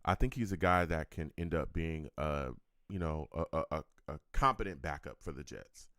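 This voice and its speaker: A man hosting a casual sports talk show, lively and prone to repeating words as he starts his thoughts. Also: extremely low pitch, moderate pace, no room sound, studio quality. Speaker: podcast host.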